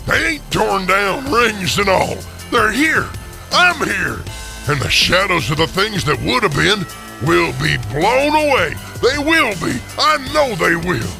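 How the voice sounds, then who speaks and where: An old miser in a radio play, overwrought and exclamatory. The voice sits high and swoops widely, with a creaky edge. Every phrase is pushed with full emotional emphasis. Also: male voice, big pitch swings, measured pace, bright, forceful tone, soft background music, full character voice. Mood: fervent relief. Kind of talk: radio theater acting.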